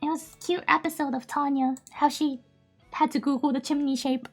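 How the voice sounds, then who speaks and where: A woman's voice: high-pitched, fairly even intonation, fairly quick, faint music behind. A woman streamer whose native language is Japanese, chatting casually and giggling often, with many fillers. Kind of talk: casual live-stream chat.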